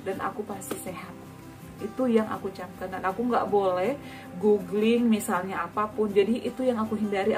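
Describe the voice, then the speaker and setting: A woman's voice: mid-range voice, distant mic. A woman in her thirties casually sharing a personal story, chatty, with frequent tag words and hesitations.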